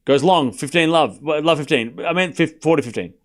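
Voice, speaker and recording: A man's voice: on the high side, a little fast. A man with an Australian accent, giving casual live tennis commentary and teasing his chat. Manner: teasing, boastful, talks straight to chat.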